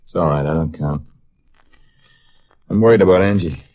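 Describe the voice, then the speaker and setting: An actor playing an earnest, bitter, lovesick young student, sullen and intense, in a 1950s radio drama. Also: male voice, low-pitched, moderate pace, a little hiss or hum, lightly acted. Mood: bitter, hurt. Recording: muffled vintage radio recording.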